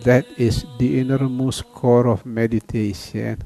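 Man, meditation teacher, unhurried and mellow. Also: medium pitch, vocal fry. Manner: slow, calm, with long pauses. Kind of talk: guided meditation.

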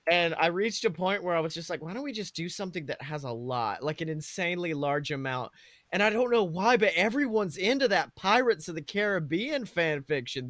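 Animated, bright-voiced young man. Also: fairly high pitch, no echo. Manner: animated, slangy, profane. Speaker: podcast co-host. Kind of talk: casual comedic chat.

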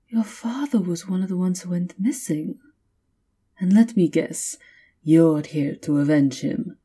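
Woman playing a low, breathy seductive vampire countess. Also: dark tone. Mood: mocking. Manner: slow, theatrical, taunting purr, often dropping to whispers. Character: seductive, menacing aristocratic vampire countess, mocking and possessive. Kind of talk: ASMR roleplay.

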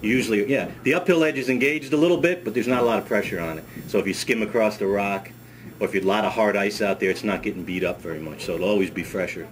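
A middle-aged man, a ski school director, demonstrating a hands-on task in a wood-paneled workshop, talking in a relaxed, chatty way and repeating words as he works. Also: fairly low pitch, fast talker. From United States. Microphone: camera mic on a workbench. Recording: camera audio with steady background noise.

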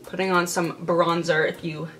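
A woman's voice: on the low side, flat delivery, moderate pace, a bit bright, faint music behind. A young woman vlogger chatting casually while doing her makeup in her bedroom, stuttering with repeated sounds and blocks. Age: young adult. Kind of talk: casual get-ready-with-me chat. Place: bedroom, indoors.

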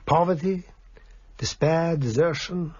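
An actor playing a gentle, probing Viennese doctor in a radio drama, formal and precise in his questions. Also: a little high, slow pace, breathy edge. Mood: musing, precise. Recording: slightly dull radio recording.

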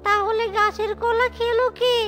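A woman's voice: very high-pitched, little pitch movement, moderate pace, fairly bright tone. A woman voicing a blustering but easily cowed guard ghost in a children's cartoon, with a whiny manner. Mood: aggrieved.

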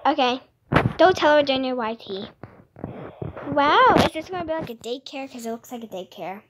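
High-pitched female gamer, noisy recording. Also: expressive, moderate pace. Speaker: gaming video host. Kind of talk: casual gameplay chat. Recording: noisy home recording with clicks.

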